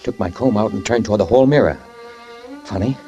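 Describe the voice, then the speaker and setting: A man's voice: fairly low pitch, dark tone, lo-fi sound, natural voice. A man playing a guilt-ridden driver on old radio. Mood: evasive. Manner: tense, halting first-person narration with stammered repeats. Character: guilt-ridden man who fled a fatal crash. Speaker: narrator and lead character. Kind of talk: 1940s radio drama narration. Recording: old radio recording with music behind.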